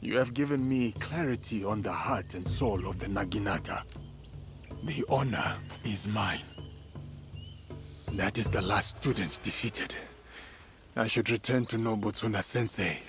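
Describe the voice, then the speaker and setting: Game role: steadfast, respectful samurai played by a man, deep-set voice, solemn formal delivery. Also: music in the background, close to an everyday voice. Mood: admiring. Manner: measured, formal, solemn phrasing. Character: honourable, steadfast male samurai swordsman, calm and respectful. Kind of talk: dramatic video game acting.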